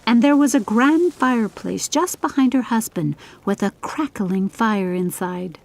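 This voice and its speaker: A woman's voice: on the high side, unhurried, creaky, darkish tone, low-quality recording. A woman reading scripted audiobook narration of a story.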